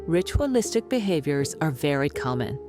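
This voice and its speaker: Actress as a low-voiced, smug woman doctor. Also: big pitch swings, moderate pace, over music. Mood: lecturing. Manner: clinical, self-assured, condescending. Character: smug, dismissive female doctor and skeptic author. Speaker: doctor, expert guest. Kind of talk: radio interview acting.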